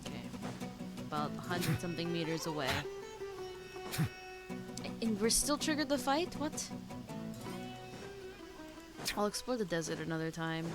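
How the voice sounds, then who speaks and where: A woman's voice: fairly low pitch, big pitch swings, measured pace, long pauses. A woman streaming a video game with casual commentary, talking to herself and to the game as she plays. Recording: game music behind the voice. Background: loud game music.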